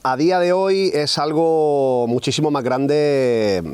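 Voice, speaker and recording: A man speaks in an interview at a mid pitch, hesitant and restarting his phrases. The sound is dry and slightly distant from the mic. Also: takes it slowly, a little mellow, smooth, no room sound. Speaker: rap battle judge.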